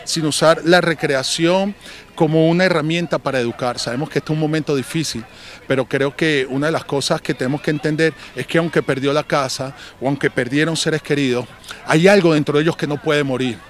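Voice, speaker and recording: A man's voice: on the high side, fairly quick. A man giving an earnest, preacherly interview answer as a volunteer leader outdoors.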